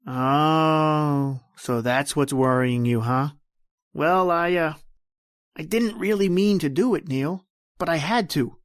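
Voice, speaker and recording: A slippery young pal, acted by a man in an old-time radio play. The voice sits high and sounds dry and close. He talks in breezy slang and wriggles out of blame. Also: takes it slowly, clear, resonant tone, dry recording. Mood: evasive, defensive. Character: slippery, wheedling young male salesman pal.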